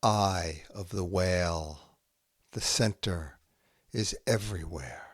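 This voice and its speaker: A man reading short poems aloud in a measured way, setting each brief phrase apart with pauses. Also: deep voice, no echo. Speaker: podcast host reading poetry aloud. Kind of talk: poetry reading, haiku recited line by line.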